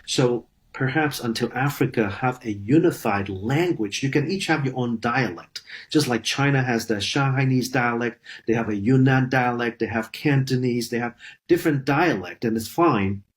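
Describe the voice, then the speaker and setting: A man's voice: medium pitch, normal speed. A man speaking as a professor, explaining a point with rhetorical questions. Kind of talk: lecture clip.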